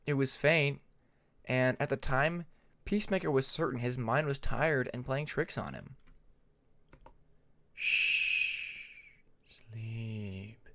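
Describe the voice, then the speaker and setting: A man reading fiction aloud as a scripted audiobook narration in a measured storytelling delivery. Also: mid-range voice, lively intonation, vocal fry, background noise. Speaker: amateur fan fiction narrator.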